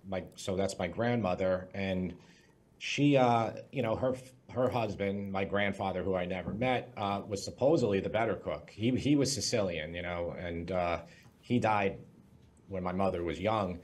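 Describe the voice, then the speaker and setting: A man's voice: low-pitched, moderate pace. A man from Long Island, chatting casually as a podcast guest. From Long Island, New York. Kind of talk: casual conversational recollection.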